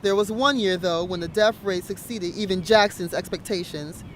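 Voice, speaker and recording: A man's voice: high voice, moderate pace, a bit bright, a little hiss or hum. A man reading scripted news narration as a reporter.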